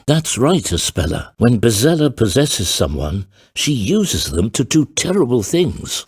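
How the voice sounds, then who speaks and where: An actor playing a grave, theatrical middle-aged father and storyteller in a video game, speaking in a deliberate, formal and grandiose way with a gravelly, husky voice. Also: medium pitch, darkish tone, dry recording, clean studio sound, full character voice. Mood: earnest fatherly warning. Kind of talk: dramatic video game voice acting.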